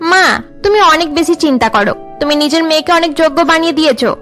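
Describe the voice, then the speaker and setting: A woman's voice: sits high, expressive, rapid-fire, fairly bright tone, soft background music. A woman voicing a confident, studious teenage girl in a cartoon, polite and self-assured. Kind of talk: melodramatic Bengali cartoon dubbing.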